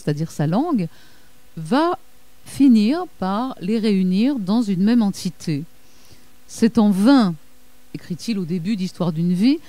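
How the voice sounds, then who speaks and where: A woman giving an academic lecture, reading quotations aloud and pausing often with fillers and self-corrections. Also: medium pitch, dark tone, dry recording.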